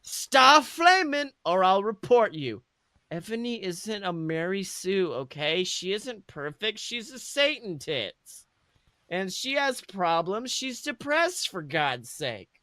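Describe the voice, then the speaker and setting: A petulant teenage girl author, played by a man in a mocking story reading. The voice is high and bright, scolding in huffy, drawn-out, emphatic phrases as it defends itself. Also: sing-song, no echo, heavily acted. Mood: defensive.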